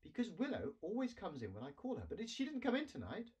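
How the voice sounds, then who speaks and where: A man voicing an insistent eleven-year-old girl in a storybook, bright and persistent. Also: sits high, wildly swooping pitch, fast talker, slightly rough, far from the mic, voice pushed up, heavily acted.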